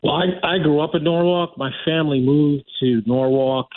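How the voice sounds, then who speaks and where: A man's voice: a little high, normal speed, no room sound. A middle-aged man talks casually as a radio guest, circling back and correcting himself as he goes. His voice comes through a thin, narrow phone line. The sound is dry, with no room around it. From United States. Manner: rambling with restarts and self-corrections. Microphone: telephone.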